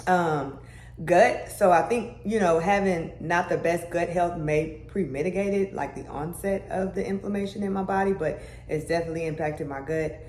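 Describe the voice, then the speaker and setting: A young adult woman with a deeper voice, talking casually to her viewers in a chatty vlog manner. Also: darkish tone. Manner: chatty, conversational, with "y'all" and "baby" asides. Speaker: vlogger. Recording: echoey room with faint background hum.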